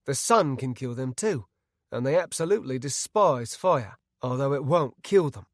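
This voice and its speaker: A man voicing a calm, studious young woman who explains things in a measured way. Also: mid-range voice, no echo, studio quality, close to an everyday voice. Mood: informative.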